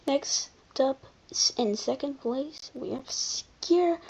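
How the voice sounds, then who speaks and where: A commentator giving casual, unscripted video commentary in an informal, halting way, often backtracking. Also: high-pitched, creaky, a little mellow. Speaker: video creator presenting a ranking.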